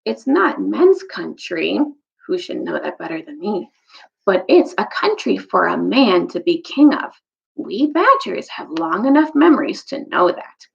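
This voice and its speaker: A woman's voice: mid-range voice, big pitch swings, a little slow, gravelly, lo-fi sound, full character voice. A woman voicing a loyal, earnest old storybook badger who speaks emphatically and insistently. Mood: firm, proud.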